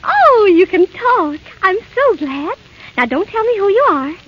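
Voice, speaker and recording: A woman's voice: very high-pitched, very animated, measured pace, full character voice. A woman playing a sweet, innocent young princess in 1930s radio drama, speaking in polite, earnest exclamations. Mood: delighted. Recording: dull, noisy 1930s radio broadcast.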